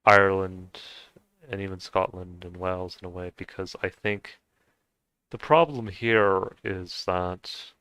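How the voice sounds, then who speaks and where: A man's voice: low voice, steady tone, unhurried, sharp tone, smooth, no room sound, poor audio. A young man talking in a casual, hesitant monologue with many fillers and restarts. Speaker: vlogger.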